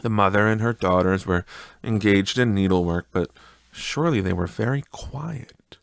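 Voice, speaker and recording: A man's voice: fairly low pitch, moderate pace, gravelly, no room sound. A man narrating a classic story as an audiobook reader, in a dramatic literary style.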